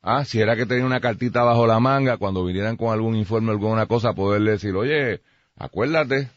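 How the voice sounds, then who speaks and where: A man's voice: lowish voice, fairly even intonation, fast, no echo. A man with a Puerto Rican accent giving blunt, emphatic political opinions as a radio host, with dull radio sound.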